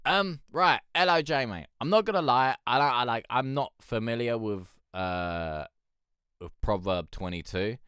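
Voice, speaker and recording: A man with a deep voice hosting a music review show, talking frankly and loosely, swearing and rambling with lots of fillers. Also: lively intonation, a little slow, a bit bright, clean tone, no room sound, clean studio sound. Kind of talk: casual chat reviewing submitted songs.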